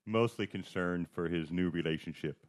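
A man's voice: sits low, normal speed. A man giving dry, wry commentary in casual panel chat.

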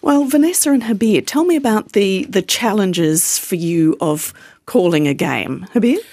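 Woman radio presenter, animated questioning, dry studio sound. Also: mid-range voice, normal speed, dry recording. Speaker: radio host. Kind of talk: radio interview.

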